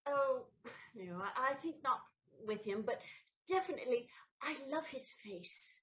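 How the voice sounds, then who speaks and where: A woman playing a romantic, scheming countess in a stage comedy audio play, dramatic and exclamatory with hesitant pauses. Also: high voice, expressive, a bit bright. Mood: wistful. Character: theatrical noblewoman countess, scheming, romantic, betrayed wife.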